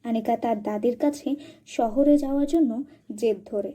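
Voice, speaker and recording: A woman reads scripted narration for a cartoon story. Her voice sits high yet has a dark, mellow tone. A light huskiness runs through the storytelling. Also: a little slow.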